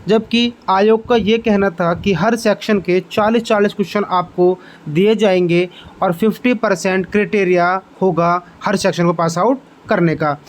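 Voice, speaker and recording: A man's voice: high-pitched, fairly even intonation, moderate pace, low-quality recording. A man hosting an informational exam update, explaining figures step by step and addressing viewers directly. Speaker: exam-update channel host.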